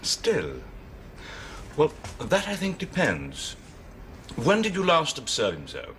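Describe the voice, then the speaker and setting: A formal, drily ironic young Swedish gentleman in a 1970s television period drama. He speaks with a Swedish accent and a bright, sharp tone, his pitch roaming widely. A faint hiss sits under the old television sound. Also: huge pitch swings, takes it slowly, full character voice. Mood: drily amused. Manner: precise, literal, drily ironic.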